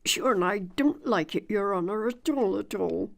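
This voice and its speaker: A woman's voice: medium pitch, animated, normal speed, creaky. A woman voicing a humble, deferential male servant creature in a storybook, with a folksy manner. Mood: meek, reluctant.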